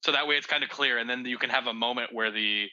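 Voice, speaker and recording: A man with a very bright, forceful voice on a slightly dull recording. He talks casually through script notes and acts out sample lines as he goes. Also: medium pitch, fast talker. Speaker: script reader giving feedback. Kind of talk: casual creative feedback chat.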